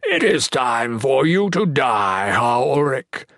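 A man voicing a monstrous undead demon villain in an audiobook, rumbling and taunting with drawn-out words. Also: big pitch swings, fairly bright tone, no room sound, studio quality, full character voice. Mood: gloating. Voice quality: rumbling, thundering. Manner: slow, drawn-out, taunting delivery.

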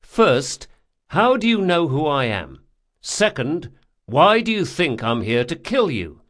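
A man narrating an audiobook, voicing a brash, sardonic thief who speaks forcefully, with menace and exasperation. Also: fairly high pitch, big pitch swings, slow pace, voice pushed up, full character voice. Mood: exasperated. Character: brash, sardonic male thief, threatening, foul-mouthed.

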